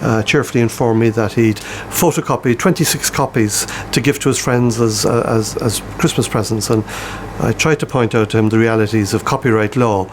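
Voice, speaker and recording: An Irish-accented man, a publisher being interviewed, recalls things informally in a halting, amused storyteller's way. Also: medium pitch, slight background noise.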